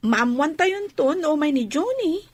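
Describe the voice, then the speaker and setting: A woman's voice: high-pitched, expressive, a little slow, no echo. A woman with an Ilocano accent playing a chatty, observant grown daughter in a radio drama. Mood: gossipy. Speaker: voice actor.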